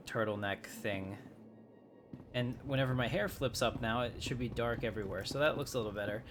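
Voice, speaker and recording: A man giving casual, easygoing live gameplay commentary, thinking aloud as he plays. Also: fairly low pitch. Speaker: gaming streamer.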